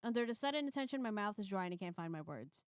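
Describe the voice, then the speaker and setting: Expressive woman streamer reading a story. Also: mid-range voice, very fast, smooth, no echo, studio quality. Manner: expressive, casual and blunt, swears freely. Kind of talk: reading a visual novel aloud on stream.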